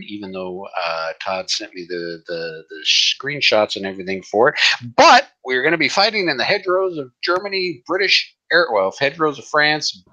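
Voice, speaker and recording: A man's voice: lowish voice, sharp tone, muffled recording. A man co-hosting a game stream, playful and self-deprecating, joking with his friend over a computer mic. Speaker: co-host and opponent. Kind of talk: casual chat, joking banter. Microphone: computer mic at his desk.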